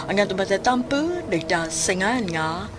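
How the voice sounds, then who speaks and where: A woman's voice: mid-range voice, expressive, unhurried. A woman reading a scripted radio drama introduction as the announcer. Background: music.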